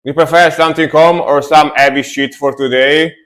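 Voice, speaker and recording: A young adult man casually chatting with his stream chat while reacting to metal music, relaxed and talkative. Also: a little high, moderate pace. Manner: relaxed, chatty, answers chat, fillers and restarts.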